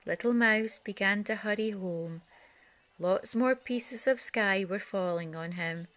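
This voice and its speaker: A woman's voice: mid-range voice, unhurried, a bit bright. A woman narrating a children's picture book aloud as a storyteller.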